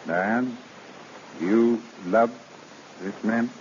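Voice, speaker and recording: An actor playing a proud, wounded husband in an old radio drama, with a formal, commanding manner. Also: male voice, lowish voice, extremely slow, a little mellow. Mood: hurt. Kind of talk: 1930s radio drama acting. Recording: muffled, noisy old radio broadcast recording.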